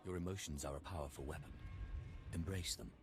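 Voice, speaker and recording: An actor playing a sinister, commanding master of the dark side in a cinematic video game. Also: male voice, sits low, fairly quick, close to an everyday voice. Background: game music.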